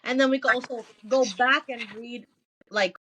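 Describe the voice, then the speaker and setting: A woman's voice: a little high, fairly even intonation, moderate pace, bright, forceful tone, slight background noise, rough recording. A woman chatting casually as a podcast co-host, blunt and insistent in a slangy way. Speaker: book club podcast co-host. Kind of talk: casual chat.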